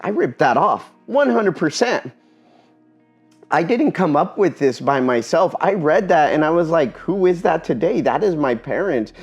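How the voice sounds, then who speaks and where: A man giving a personal talk in an earnest, reflective way, pausing often between phrases. Spanish is his first language. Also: on the high side, expressive, breathy edge, faint music behind. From Eastern Washington, USA.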